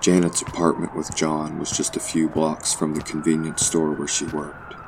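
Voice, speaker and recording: A man's voice: rumbling low, completely flat delivery, normal speed, noisy background. A man narrating a scripted horror story in an audio play.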